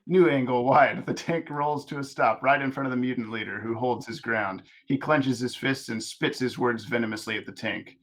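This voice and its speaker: A man's voice: medium pitch, normal speed, few pauses. Man narrating a cartoon script table read. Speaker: narrator reading stage directions.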